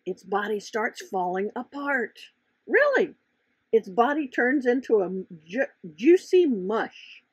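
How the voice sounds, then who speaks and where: A woman's voice: on the high side, sing-song, takes it slowly, breathy edge, no room sound. A woman author reading their own children's science storybook aloud, explaining it expressively and with emphasis. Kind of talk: storybook narration with explanatory asides.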